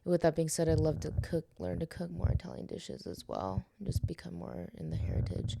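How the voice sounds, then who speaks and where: A woman's voice: lowish voice, very animated, brisk, vocal fry, noisy background. A woman co-hosting a casual podcast, hesitant and filling her talk with "um" and "like". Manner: hesitant, filler-heavy. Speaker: podcast co-host.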